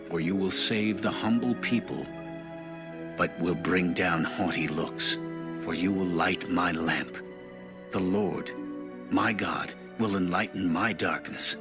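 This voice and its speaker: Deep, slow man reading scripture over music. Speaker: Bible narrator.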